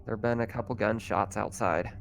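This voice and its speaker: A man's voice: lowish voice, steady tone, a little fast, vocal fry, slight background noise. A man running a tabletop roleplaying game as game master, describing scenes in a casual, improvised, conversational way. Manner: casual, conversational, thinking out loud.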